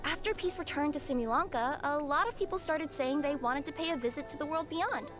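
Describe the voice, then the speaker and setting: A bubbly young cat girl voiced by a woman chats in a very high, cute voice. She is perky and friendly, an anime game courier with music playing clearly behind her. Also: brisk, natural voice. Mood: delighted. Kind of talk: scripted anime-style video game dialogue.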